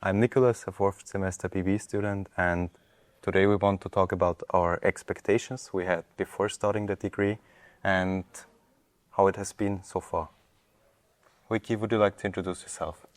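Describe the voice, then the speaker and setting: Deep, flat-voiced young Austrian man. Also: normal speed, dry recording. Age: young adult. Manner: polite, slightly formal, reads prepared questions. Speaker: student host. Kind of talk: interview hosting.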